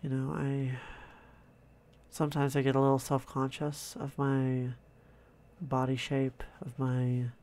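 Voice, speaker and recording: Slow, calm, gravelly-voiced man. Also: mid-range voice. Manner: soft-spoken, slow and calm, thinking aloud. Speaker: artist narrating a drawing process. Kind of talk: casual relaxed commentary over drawing.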